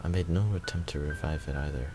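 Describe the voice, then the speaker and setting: A man's voice: extremely low pitch, fairly even intonation, fast talker, loud music behind the voice. A man reading first-person visual novel narration aloud.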